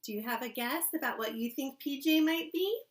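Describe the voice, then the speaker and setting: A woman kindergarten teacher reading a storybook aloud expressively, pausing to ask young listeners questions. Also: high-pitched, expressive.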